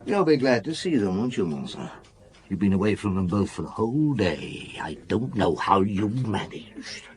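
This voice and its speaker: An actor playing a shrewd, relentless police chief inspector in a radio drama, probing and measured as he interrogates. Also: fairly low pitch, very animated, darkish tone. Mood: brisk, commanding. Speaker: actor in lead detective role.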